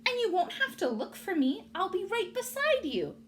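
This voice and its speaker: A woman's voice: very high-pitched, big pitch swings, normal speed, fairly bright tone, a little hiss or hum, voice pushed up, heavily acted. A woman voicing a cheerful, encouraging little bird in a children's storybook, in a chirpy manner. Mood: reassuring. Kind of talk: children's storybook read-aloud.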